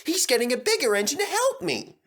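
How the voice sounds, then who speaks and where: A cheeky little storybook engine, pitched very high and swinging wildly up and down. He is excitable and easily indignant, a small character who talks like he has something to prove. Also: fairly quick, heavily acted. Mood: eager.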